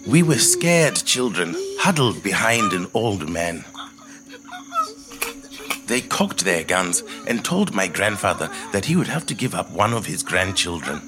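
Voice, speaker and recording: An actor playing a quiet, thoughtful middle-aged man who tells his life story in a measured, reflective monologue. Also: deep voice, big pitch swings, over music, low-quality recording. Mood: grave, measured. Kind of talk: scripted first-person monologue, audio drama storytelling.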